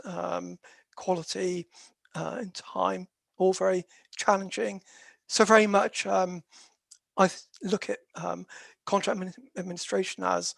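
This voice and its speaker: Croaky, hesitant webinar presenter. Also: medium pitch, no echo. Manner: hesitant, with very frequent "um" fillers; explanatory, steady lecturing delivery. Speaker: dispute resolution specialist giving a webinar talk.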